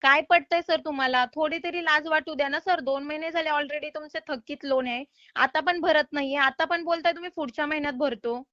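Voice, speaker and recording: A woman's voice: sits high, fairly even intonation, a little fast, bright, forceful tone, no echo. A woman making a loan recovery call in an insistent, polite but exasperated manner. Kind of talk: phone collection call. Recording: slightly dull phone-call audio.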